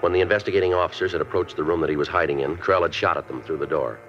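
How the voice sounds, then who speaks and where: An actor playing a police detective who narrates a case in clipped, matter-of-fact sentences, in a 1950s radio drama. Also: male voice, low voice, racing, a bit bright, soft background music. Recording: dull old radio broadcast.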